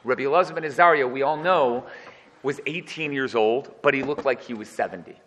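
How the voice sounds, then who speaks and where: A man's voice: mid-range voice, brisk, a bit bright, in a large hall, slight background noise. A man giving an informal, joking Torah class and putting questions to his students. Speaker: Torah teacher.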